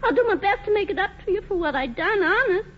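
A woman's voice: very high voice, lively intonation, fast. An actress playing a meek, contrite young woman in a radio play, speaking in an emotional, pleading, unschooled way. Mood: pleading, relieved. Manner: pleading, emotional, uneducated speech. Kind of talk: 1940s radio play acting. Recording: muffled, noisy 1940s radio recording.

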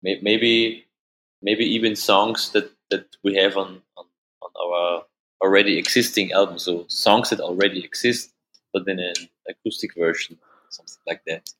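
A man from Austria whose first language is German, speaking English with an Austrian German accent as an interview guest, halting and repeating words, often laughing. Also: low-pitched, steady tone, a bit bright, poor audio. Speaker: musician guest. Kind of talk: casual interview answers.

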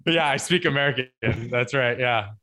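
A man's voice: mid-range voice, moderate pace, pushed and bright, poor audio. A male podcast host chatting in an upbeat, joking, slangy way with his guest. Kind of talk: casual interview chat.

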